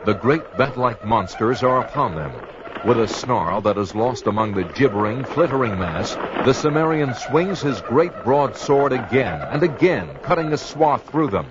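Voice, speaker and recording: A man's voice: mid-range voice, animated, moderate pace, natural voice. A man as a grave storyteller, giving dramatic scripted narration of a sword-and-sorcery audio drama in a portentous manner. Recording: dull lo-fi, loud background noise.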